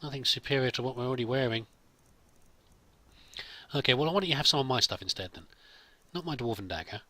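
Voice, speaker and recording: A man's voice: medium pitch, quick pace, sharp tone, no echo. A man hosting a let's play with casual role-played commentary, chatty and musing to himself.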